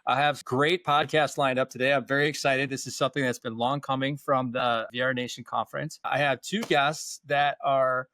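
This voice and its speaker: A man's voice: mid-range voice, steady tone, normal speed, hardly any pauses, pushed and bright, slight background noise. A man in his fifties hosting a podcast interview over a video call, chatty and rambling, often restarting his sentences. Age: 50s. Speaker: podcast host. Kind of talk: casual interview chat.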